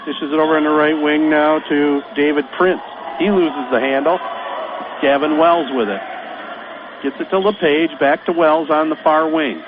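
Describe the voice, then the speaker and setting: A man with a Michigan accent calls a high school basketball game for local radio, briskly narrating each pass and shot. His voice sits a little high, and it comes through a tinny, phone-like line. Steady hiss runs under every word. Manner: brisk, running call of the action. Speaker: play-by-play announcer.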